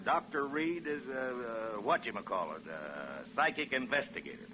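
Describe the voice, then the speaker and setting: A man's voice: medium pitch, lively intonation, normal speed, lightly acted. An actor playing a breezy, placating American property salesman with a folksy, glib manner, in a 1940s radio drama. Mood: breezy, persuasive. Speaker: real estate agent. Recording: dull, noisy 1940s radio recording.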